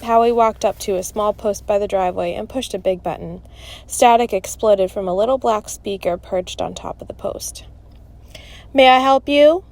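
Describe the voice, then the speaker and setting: A woman narrating a children's chapter book in an expressive, scripted read-aloud style. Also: medium pitch, normal speed.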